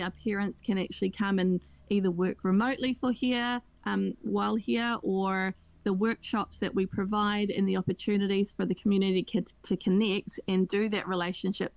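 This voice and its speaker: A woman's voice: medium pitch, level tone, normal speed, gravelly, no echo, poor audio. A woman speaking as a school staff guest in an interview, explaining things earnestly and conversationally.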